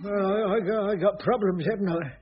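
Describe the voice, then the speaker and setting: An actor playing a bumbling, tight-fisted middle-aged caretaker in a radio sitcom, sheepish and fumbling. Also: male voice, sits high, steady tone, fairly quick, natural voice. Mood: worried. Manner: sheepish, fumbling, dim-witted. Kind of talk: 1980s radio sitcom acting. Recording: dull, hissy old radio broadcast.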